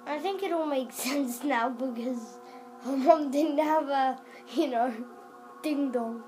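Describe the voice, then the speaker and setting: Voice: high-pitched, takes it slowly, a little mellow. A young-sounding gamer improvising a role-play commentary on a video game, rambling and exclaiming. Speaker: gaming video maker. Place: indoors at home, in front of a television. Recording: camera filming a TV, game music.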